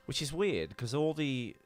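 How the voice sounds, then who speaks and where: A man's voice: mid-range voice, a little mellow. A man co-hosting a podcast in casual, rambling banter with some swearing. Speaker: podcast co-host.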